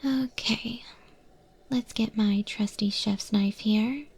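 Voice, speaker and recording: Woman playing a slow, whispering eldritch kitchen mentor. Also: mid-range voice, steady tone. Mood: calm, instructive. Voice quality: breathy, whispery. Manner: slow, hushed, gently instructive. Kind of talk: whispered ASMR roleplay.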